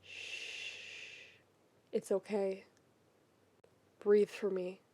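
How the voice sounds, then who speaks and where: A woman acts out a calm, tender man in an audio story. He speaks slowly, hushed and breathy, gently coaxing a friend to settle. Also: mid-range voice, a little hiss or hum. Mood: soothing.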